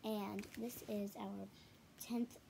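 A young girl of about ten to twelve reading a children's picture book aloud haltingly, with stumbles, repeats and casual asides. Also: medium pitch, level tone, creaky, dark tone, a little hiss or hum. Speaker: storybook reader. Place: small living room at home, indoors.

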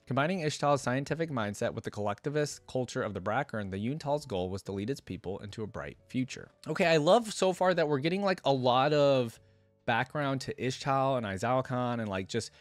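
A man's voice: mid-range voice, animated, fairly quick, dry recording. A young man hosting a reaction video, switching between casual commentary and reading aloud at a desk microphone. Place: indoor room at a desk. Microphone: desk microphone close up, with headset on.

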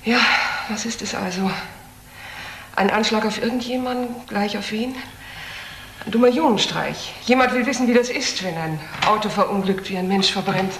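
A woman's voice: medium pitch, normal speed, close to an everyday voice. Casting: actress as blunt female doctor, self-assured and cool, crime radio play, roomy sound with faint noise. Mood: cool, challenging. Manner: cool, matter-of-fact, challenging. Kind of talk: German crime radio drama acting.

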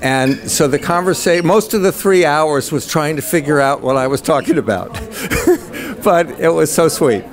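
An older man telling an anecdote in a halting way with chuckles. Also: on the high side. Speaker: interviewee. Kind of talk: casual anecdote. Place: indoor conference venue. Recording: crowd noise behind. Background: crowd chatter.

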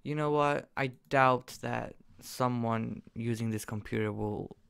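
A young man casually commenting while he plays a game on his computer, excitable and jokey, jumping from loud bursts to quiet mumbles. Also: medium pitch, measured pace, vocal fry, clear, resonant tone. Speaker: gaming YouTuber.

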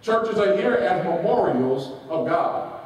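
A man's voice: sits high, expressive, slow pace, darkish tone. A man preaching a sermon, reading quotations with their page numbers and asking his congregation rhetorical questions that they answer. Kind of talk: sermon with call and response. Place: large church hall. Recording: echoey hall from far off.

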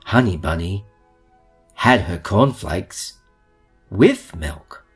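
A man reading a children's storybook aloud in a theatrical way, with drawn-out emphasis. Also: sits low, expressive, slightly rough, faint music behind. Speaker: storybook reader.

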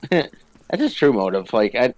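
A man's voice: medium pitch, very animated, slow, a little mellow, slight background noise. A man joking around casually as he plays a co-op game, often chuckling as he talks. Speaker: co-op gaming video player. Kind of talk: casual joking gaming banter.

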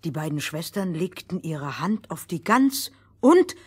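A woman's voice: low-pitched, sing-song, unhurried, breathy edge, exaggerated voice. A woman playing a bossy, exacting storyteller in a fairy-tale audio play, with emphatic delivery. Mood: narrating. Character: bossy, exacting female storyteller, correcting her partner. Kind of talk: acted fairy-tale narration in dialogue.

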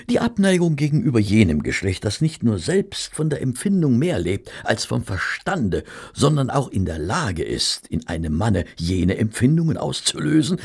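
An actor playing a courteous, reverent gentleman who narrates a detective friend's cases in an audio drama, formal and measured, with dramatic pauses and a gravelly voice. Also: low-pitched, heavily acted.

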